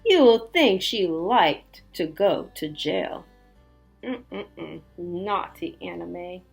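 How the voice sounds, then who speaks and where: A woman teacher reading a storybook aloud to children, expressive and emphatic, with little chatty asides. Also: lowish voice, drawn-out words, creaky, husky, soft background music.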